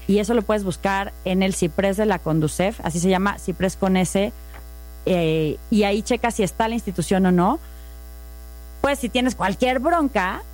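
A woman's voice: mid-range voice, darkish tone. A woman with a Mexican accent, explaining saving and investing in a patient, methodical chat, walking through worked examples. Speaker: finance guest.

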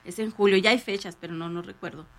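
Northern Mexican woman with a mid-pitched voice. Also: fairly even intonation, quick pace. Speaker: radio co-host. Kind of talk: casual radio chat.

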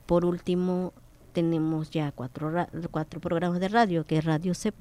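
A woman's voice: lowish voice, fairly even intonation, moderate pace, warm tone. A woman whose first language is Popoluca, a linguist speaking as a guest in a radio interview, hesitant with many fillers.

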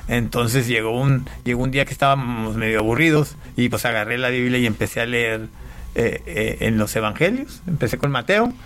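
A man from Panama, an interview guest, giving a personal testimony in a casual, halting way with repeated words. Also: mid-range voice, fairly bright tone.

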